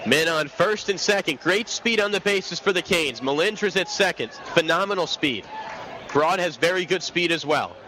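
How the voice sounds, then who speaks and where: A man's voice: on the high side, expressive, normal speed. A young man calling live baseball play-by-play for radio, switching between clipped recaps of the count and flowing bits of detail. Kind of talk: live radio sports commentary. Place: outdoor baseball stadium broadcast booth. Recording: live outdoor broadcast with loud ballpark noise. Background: loud stadium ambience.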